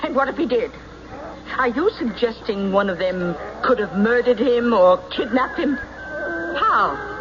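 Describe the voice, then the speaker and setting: An actress playing a distraught, defiant farm wife in an old radio drama, emphatic and quick to bristle. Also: female voice, on the high side. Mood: indignant. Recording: muffled vintage radio recording, faint noise.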